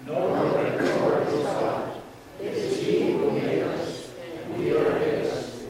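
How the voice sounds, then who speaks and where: Members of a congregation reading a responsive passage slowly together, led by a man's voice. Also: a little high, wildly swooping pitch, gravelly, a little mellow, breathy edge, poor audio. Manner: slow unison recitation.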